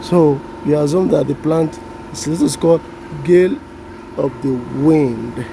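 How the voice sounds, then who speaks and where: A man with a Nigerian accent, a native Yoruba speaker, casually showing backyard weeds outdoors, unhurried and sounding out plant names with a self-deprecating tone. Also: on the high side, gravelly. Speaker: vlogger. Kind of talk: casual walk-through narration. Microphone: handheld phone camera, off-screen. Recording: generator noise behind phone audio. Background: generator running.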